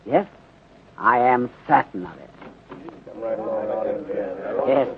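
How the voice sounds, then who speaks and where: A man playing a courteous, methodical Chinese detective inspector in 1930s radio drama style, speaking formally in clipped phrasing with deliberate pauses and dropped articles. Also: medium pitch, lively intonation, gravelly, a loud crowd, full character voice. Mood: polite, assured. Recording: muffled, narrow-band 1930s radio recording.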